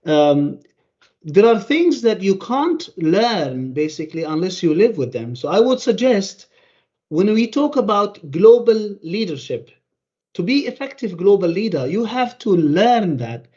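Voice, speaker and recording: Guy presenting at a conference over a call, higher voice, lots of uhs and restarts, muffled dull audio. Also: sing-song, a little mellow. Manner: halting, many fillers and restarts.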